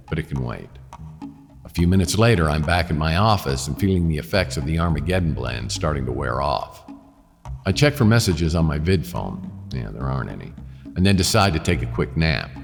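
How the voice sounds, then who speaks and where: An extremely deep American male voice plays a weary private eye in a noir radio drama. He is deadpan and sardonic, with faint music behind him. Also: brisk, gravelly, exaggerated voice. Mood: wry narration. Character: wry, world-weary male private detective. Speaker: narrator and lead actor. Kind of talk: noir radio drama, first-person narration and dialogue.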